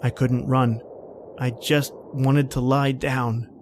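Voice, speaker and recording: A man's voice: medium pitch, level tone, slow pace, darkish tone, a little hiss or hum, close to an everyday voice. A man playing a haunted, panicky man stranded in polar cold, telling his ordeal in an old-time radio horror style. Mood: haunted, resigned. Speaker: podcast host and actor.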